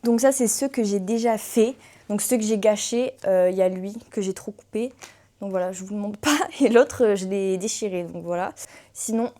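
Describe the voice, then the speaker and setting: Young woman book vlogger, chatty, lots of little hesitations, soft mellow tone. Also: medium pitch. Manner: chatty, fills pauses with hesitations. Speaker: book content creator. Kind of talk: casual vlog chat.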